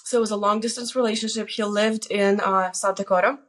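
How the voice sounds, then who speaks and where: A woman in her forties from Lithuania, a guest telling personal stories casually in an animated, self-deprecating way. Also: medium pitch, brisk. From Lithuania, moved to the US as a teen. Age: 40s. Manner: animated, self-deprecating, quotes herself and others. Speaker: guest on her daughter's show.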